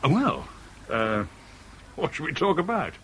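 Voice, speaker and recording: Worried suspicious traveller, hugely varied intonation, vintage radio drama, heavy background noise. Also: male voice, a little high, huge pitch swings, slow, a bit bright, exaggerated voice. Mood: polite, curious. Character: anxious, suspicious male traveller searching for a missing woman. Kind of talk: 1970s BBC radio drama acting. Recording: noisy 1970s radio drama recording.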